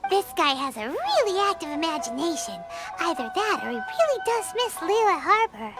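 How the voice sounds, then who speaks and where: A woman voicing a tiny floating female companion in a game, blunt, bossy and excitable, who speaks of herself in the third person. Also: extremely high pitch, normal speed. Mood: teasing. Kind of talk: video game cutscene dialogue. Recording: game cutscene with loud music behind.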